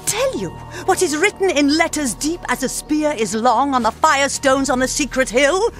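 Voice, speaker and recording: A woman's voice: high voice, lively intonation, moderate pace, faint music behind. An actress playing a cold, menacing, haughty witch queen in a theatrical radio drama. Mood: scornful, menacing.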